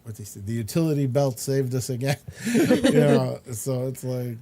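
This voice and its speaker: A man's voice: medium pitch, animated, moderate pace, warm tone. A man chatting casually and opinionatedly about pop culture, rambling as he explains and throwing in exasperated asides. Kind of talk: casual chat about films and comics.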